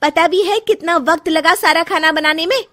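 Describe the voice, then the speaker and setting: A woman voicing an unhappy young bride in a cartoon story, resentful and quick to snap, sometimes tearful. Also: very high voice, noisy background, pitched above the performer's own voice. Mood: angry. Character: young unhappy bride, frustrated, sharp-tongued.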